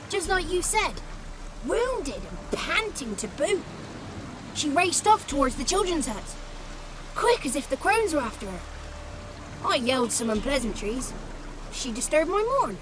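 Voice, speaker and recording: A mischievous little godling boy from a fantasy game, high-voiced and swooping widely in pitch. He is theatrical and wordy, relishing every florid phrase, with music playing underneath. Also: sing-song, a little slow, pauses often. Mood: boastful storytelling. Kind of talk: video game voice acting.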